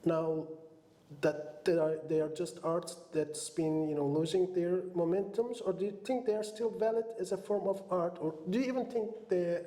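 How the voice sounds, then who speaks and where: Male podcast host, Turkish native speaker, high pitched, loose rambling delivery, roomy sound. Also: steady tone, normal speed, mellow. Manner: rambling, many fillers and "you know". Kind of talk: casual podcast chat. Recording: roomy room echo.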